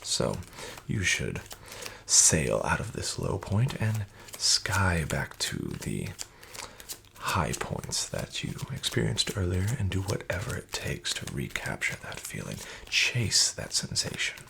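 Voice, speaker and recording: Male casino dealer, soft and half-whispered, gravelly and lilting, ingratiating. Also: deep voice, slow, background noise. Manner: soft-spoken, half-whispered, smooth and flattering salesman patter. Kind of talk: soft-spoken ASMR roleplay.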